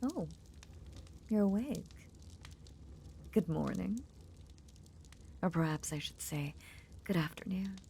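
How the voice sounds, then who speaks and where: A woman playing a possessive lamia in an intimate roleplay audio drama, tenderly nursing in a breathy, half-whispered, seductive murmur with veiled menace. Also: on the low side, animated, mellow, a little hiss or hum, exaggerated voice. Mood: gentle, doting. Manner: slow, soothing, seductive murmur.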